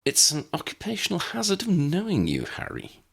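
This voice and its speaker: A confident, wry wizard from a storybook , acted out by a man. The voice drawls its emphasis and swoops widely, with some gravel in it. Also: sits high, huge pitch swings, normal speed, a bit bright, voice pushed up, full character voice. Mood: teasing. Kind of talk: storybook character acting.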